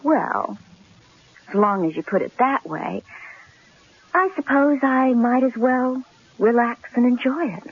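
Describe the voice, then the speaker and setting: An actress playing a loyal, wry young secretary and sweetheart in a radio drama. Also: female voice, on the high side, measured pace, long pauses, background noise. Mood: teasing, coy. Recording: thin, phone-like old radio recording.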